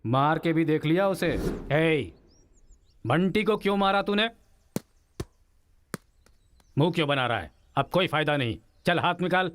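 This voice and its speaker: A man's voice: fairly high pitch, lively intonation, a little slow, pauses often, fairly bright tone, slight background noise. An actor playing a jailed father who tells his story and coaxes his crying baby son in sing-song baby talk. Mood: scolding.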